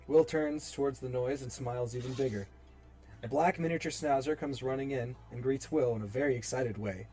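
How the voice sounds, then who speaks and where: A man's voice: mid-range voice, dark tone. A man reading stage directions as narrator in a dramatic screenplay table read. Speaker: narrator and reader.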